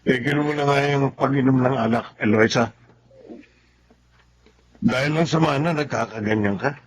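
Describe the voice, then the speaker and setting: An actor playing a reasonable but exasperated husband, chiding and insistent, in a radio melodrama. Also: male voice, mid-range voice, moderate pace, breathy edge, lightly acted. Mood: exasperated, reasoning. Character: reasonable, exasperated husband scolding his wife. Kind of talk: Tagalog radio melodrama acting.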